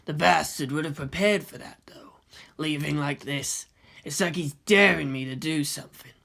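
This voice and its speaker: A man's voice: on the high side, normal speed, creaky, bright, forceful tone. A man voicing a wary, brooding male agent with a terse, guarded manner in a fan fiction reading. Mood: wary, resentful.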